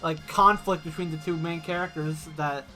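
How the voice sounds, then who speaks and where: Giggly guy rambling about movies, bright clear voice, faint music under it. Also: on the high side, fairly even intonation, moderate pace. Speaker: podcast co-host. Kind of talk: casual chat.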